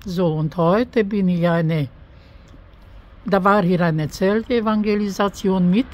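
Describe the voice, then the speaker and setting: An older woman shares a personal testimony of faith in a spontaneous, prayerful style. She speaks at a middle pitch and halts often to search for a word. It is recorded outdoors, with faint street noise around her. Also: a little mellow. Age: older, over 63. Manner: halting, searching for words. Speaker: interviewee giving a faith testimony. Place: outdoors by an apartment entrance on a street.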